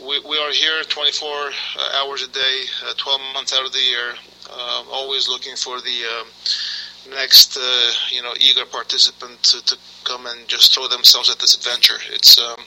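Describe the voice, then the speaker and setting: A man speaking for the program, halting with many fillers and clearing his throat. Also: mid-range voice, monotone, bright, forceful tone, slight background noise, low-quality recording. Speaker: program representative. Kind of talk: on the line, halting remarks.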